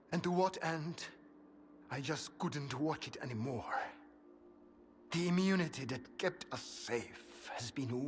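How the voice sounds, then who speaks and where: Game cult zealot: unhurried, fervent, resigned, faint noise behind. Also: male voice, mid-range voice, fairly bright tone. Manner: slow, solemn, fervent, dropping into whispers. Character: fanatical male cult follower, resigned and devout. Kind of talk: video game voice acting.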